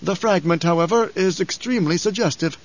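A man voicing a loyal old advisor in an audiobook, grave and deferential, with a measured, formal, hesitant delivery. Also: sits high, lo-fi sound, pitched above the performer's own voice. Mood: grave, careful. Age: older.

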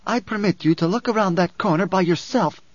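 A man's voice: sits high, unhurried, no echo, lo-fi sound, voice pushed up. A man voicing a fierce young priestess and oracle in an audiobook, with a declamatory, incantatory and cryptic manner. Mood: sharp, angry.

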